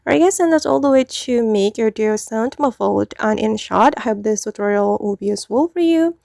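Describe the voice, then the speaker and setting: A woman hosts a casual how-to tutorial in a fairly high voice with plenty of vocal fry. She talks the viewer through each step informally. The recording is dry and close, with very little room sound. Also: expressive, fairly quick, a little mellow, no room sound. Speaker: tutorial host.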